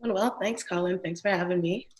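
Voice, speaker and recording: A young woman from Ghana, a guest business owner telling her story in a relaxed, friendly way, laughing easily. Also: lowish voice, brisk. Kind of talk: casual interview conversation. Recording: echoey room sound.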